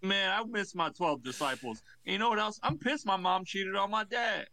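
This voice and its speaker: A crude, boastful take on Jesus, played by a man on a comedy podcast, who delivers crass jokes with deadpan swagger. The voice is bright and forceful and pitched a little high. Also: moderate pace, dry recording, exaggerated voice.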